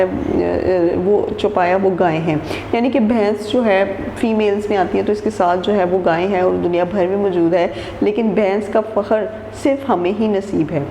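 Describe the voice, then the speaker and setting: A woman's voice: mid-range voice, mellow. A woman teacher reading a textbook passage aloud and explaining each sentence again in simpler words. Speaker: Urdu teacher. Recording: echoey room with long reverb and faint noise.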